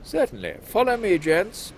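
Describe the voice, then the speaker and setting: A man's voice: sits high, huge pitch swings, a little slow, loud music behind the voice, exaggerated voice. A man playing an officious Victorian London policeman in a comic audio drama, matter-of-fact and dryly grim. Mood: businesslike.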